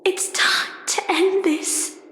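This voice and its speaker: Game role: mournful spirit singer, very high voice, theatrical, echoing over music. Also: female voice, fairly even intonation, slow, fairly bright tone, slight background noise, exaggerated voice. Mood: weary, bitter. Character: sorrowful fallen songstress spirit, weary and self-condemning. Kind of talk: dramatic game voice acting. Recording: echoey hall reverb, music behind.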